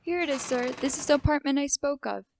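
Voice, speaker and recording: A teenage student actress playing a polite, deferential renting agent in an amateur radio play. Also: female voice, sits high, fast, creaky, noisy background. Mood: obliging. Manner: deferential, sales-minded. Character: polite, obliging renting agent showing a flat.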